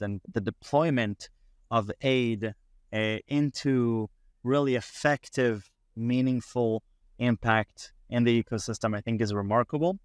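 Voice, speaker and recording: A man's voice: medium pitch, clear, resonant tone, no echo, clean studio sound. A man hosting an interview, encouraging the guest and speaking with frequent fillers and repeated words. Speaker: podcast host.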